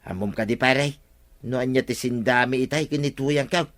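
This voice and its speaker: Bright-voiced whiny Ilocano village man played for laughs. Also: medium pitch, normal speed, close to an everyday voice. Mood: teasing. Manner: exclamatory, groaning interjections. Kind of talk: Ilocano radio comedy drama acting.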